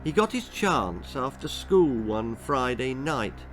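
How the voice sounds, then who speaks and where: A man reading a children's story aloud as a dramatic, expressive narrator. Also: mid-range voice, slow, faint music behind. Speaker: author reading his own story.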